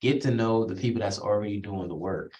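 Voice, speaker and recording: A man hosts the interview in a relaxed, conversational way, with a somewhat low voice. He winds through long questions and checks in with the listener as he goes. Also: fairly quick. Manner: relaxed, conversational, frequent "right?" and "you know". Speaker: interview host.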